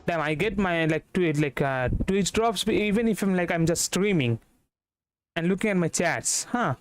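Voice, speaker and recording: A man with an Indian accent giving casual, halting live commentary as he streams a video game. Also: high voice, lively intonation. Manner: halting, rambling. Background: game music.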